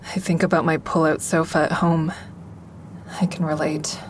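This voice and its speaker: A woman's voice: deep voice, flat delivery, normal speed, slight background noise, close to an everyday voice. An actress playing a tense, watchful woman who narrates her own troubled story in an audio drama. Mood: anxious, gentle. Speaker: narrator and lead actor in a fiction podcast. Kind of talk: first-person dramatic narration.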